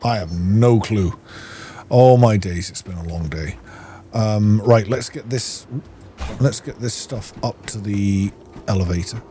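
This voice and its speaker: A man's voice: fairly low pitch, unhurried, warm tone, a little hiss or hum. A middle-aged man giving casual gameplay commentary, rambling and thinking aloud. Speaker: gaming YouTuber.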